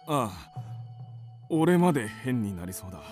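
An actor playing an excitable father in a radio drama, with an animated, theatrical manner. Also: mid-range voice, normal speed, soft background music, lightly acted. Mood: troubled. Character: excitable, playful father of a household.

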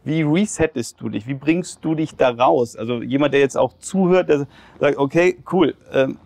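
A male interviewer asking quick, slightly halting questions in a lounge, on a lapel mic. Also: mid-range voice, creaky, a little mellow, no room sound. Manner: quick, hesitant restarts, frequent affirming hums. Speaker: interviewer host. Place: lounge with leather armchairs, indoors.

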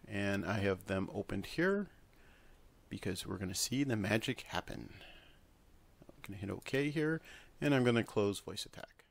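A man presenting a casual software tutorial, talking informally through each step as he works at a computer. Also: lowish voice, expressive, normal speed, gravelly, long pauses, background noise. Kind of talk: casual screen-recorded tutorial.

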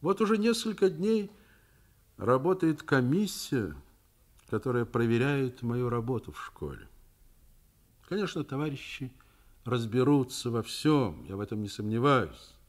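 An actor in a Soviet radio play playing a middle-aged, principled school principal and worried father, speaking in a deliberate, reflective manner. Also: medium pitch, lively intonation. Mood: pensive, worried. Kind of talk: Soviet radio drama acting, narrating.

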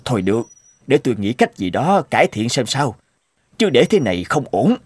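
A man voicing a stern, thoughtful army colonel in a cartoon war drama, speaking deliberately. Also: medium pitch, big pitch swings, husky. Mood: thoughtful resolve.

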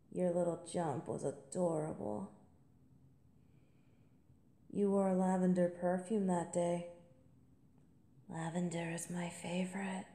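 Woman acting slow, sultry, teasing college senior. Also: on the low side, mellow, reverberant. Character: teasing, seductive female college senior, confident and playful. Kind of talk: intimate scripted audio roleplay monologue.

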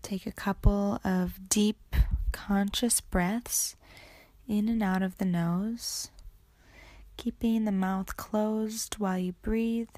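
A woman guiding a meditation in a soothing, unhurried way, with breathy ends to her phrases. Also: mid-range voice, fairly even intonation, dark tone, no room sound. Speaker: yoga nidra meditation guide. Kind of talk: guided meditation narration.

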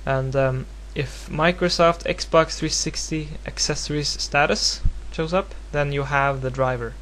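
A man from Norway speaking English as a second language with a Norwegian accent, giving a casual, unscripted software tutorial in a hesitant, rambling, self-deprecating way. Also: a little high, measured pace. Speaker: tutorial presenter. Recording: home recording with clear background noise and hum.

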